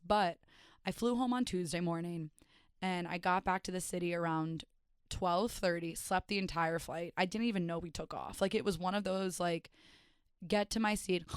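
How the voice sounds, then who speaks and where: Hoarse young woman chatting on her podcast. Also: fairly low pitch, no room sound, clean studio sound. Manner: rambling, self-mocking, chatty with many "like"s. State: hoarse, raspy voice after days of partying. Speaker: podcast host and stand-up comedian. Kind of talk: casual solo podcast chat.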